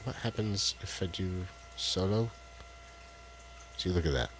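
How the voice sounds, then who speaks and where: A man with a deep voice, co-hosting a casual live stream and joking as he fiddles with his tech. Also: a little slow, slight background noise, rough recording. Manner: rambling, jokey, fiddles with tech while talking. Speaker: co-host of a live stream show. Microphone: headset with headphones.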